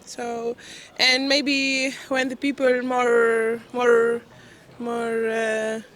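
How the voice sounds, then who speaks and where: A woman's voice: a little high, completely flat delivery, drawn-out words, a bit bright. A woman answering a street interview outdoors, hesitant and searching for words.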